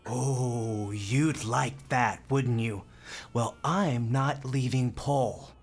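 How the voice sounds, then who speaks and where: A woman's voice: very deep voice, very slow, darkish tone, full character voice. A woman acting in a radio play as a brash, tender, streetwise young hospital volunteer, speaking informally with an American accent. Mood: defiant, heated. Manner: informal, defiant, slangy.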